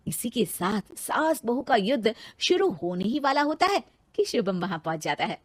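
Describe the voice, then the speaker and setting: A woman voicing several cartoon story roles and narrating in an expressive, dramatic way. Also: on the high side, normal speed, slightly rough, no echo.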